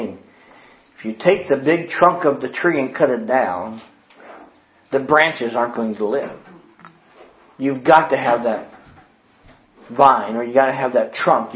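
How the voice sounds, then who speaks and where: A man preaching a sermon in an earnest, emphatic way, repeating his key phrases and quoting old-fashioned scripture wording. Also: medium pitch. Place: church building. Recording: echoey, dull lo-fi sound.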